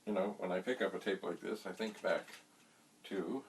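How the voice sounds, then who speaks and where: A middle-aged man giving an unscripted hands-on demonstration, speaking in deliberate, broken phrases with quaint, slightly theatrical wording, recorded on a distant mic. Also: low-pitched, fairly even intonation. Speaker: vintage computer hobbyist presenting a how-to vlog. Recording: distant mic, faint background hiss.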